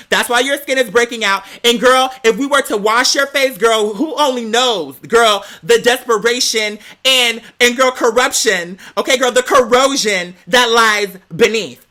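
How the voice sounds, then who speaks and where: Bright-voiced, sassy, animated storyteller. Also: medium pitch. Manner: sassy, slangy, emphatic, peppering talk with "girl"; theatrical, acts out quoted dialogue. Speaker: vlog host telling a personal story. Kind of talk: animated gossipy storytelling vlog.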